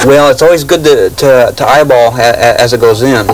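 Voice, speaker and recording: A middle-aged man casually explaining restoration work as a radio restorer, with halting phrasing. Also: mid-range voice, background noise. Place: indoor workshop full of old radios.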